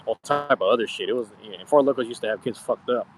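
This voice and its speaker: A man's voice: mid-range voice, fast, breathy edge, low-quality recording. A man in his thirties chatting casually with his viewers in a slangy, profane, rambling way. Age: 30s. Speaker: streamer. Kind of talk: casual live-stream chat with viewers.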